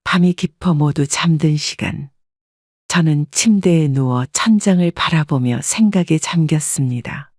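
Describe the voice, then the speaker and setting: Calm woman narrating a story. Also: low voice, a little slow, dry recording, studio quality. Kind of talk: scripted first-person story narration.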